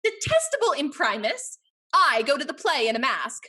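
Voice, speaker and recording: A woman playing a free-spirited, witty heiress in a Restoration comedy, with an arch, theatrical and deliberate delivery. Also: high voice, big pitch swings, pushed and bright, exaggerated voice. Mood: indignant. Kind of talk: Restoration comedy stage dialogue.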